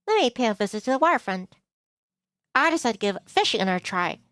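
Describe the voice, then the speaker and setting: A woman's voice: a little high, very animated, fast, fairly bright tone, no echo. A woman streamer reading a visual novel aloud, mixing narration with casual asides. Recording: music behind the voice.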